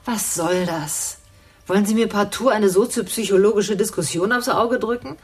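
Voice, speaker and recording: Actress as a husky, sharp-tongued educated woman. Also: mid-range voice, normal speed, natural voice. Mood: scornful. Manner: sarcastic, condescending, articulate. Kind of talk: naturalistic radio drama acting.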